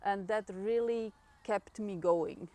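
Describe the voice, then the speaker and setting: A woman's voice: mid-range voice, slow, warm tone. Swiss woman, chatty and often laughing. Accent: Swiss German. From Switzerland. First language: Swiss German. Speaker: guest runner. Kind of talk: casual interview talk.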